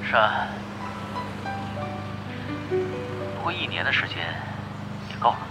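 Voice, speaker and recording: A man's voice: mid-range voice, very animated, slow pace, loud music behind the voice. An actor playing a laid-back, teasing expert gamer in his twenties in a Chinese audio drama, casual and assured. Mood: relaxed, teasing.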